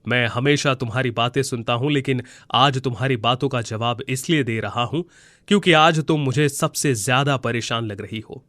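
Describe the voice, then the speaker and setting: Kindly talking tree for a moral fairy tale, played by a man: bright, forward tone, gentle manner, dry recording. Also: medium pitch, moderate pace, dry recording, lightly acted. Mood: consoling. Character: kindly, consoling magical talking mango tree. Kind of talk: Hindi moral storybook voice acting.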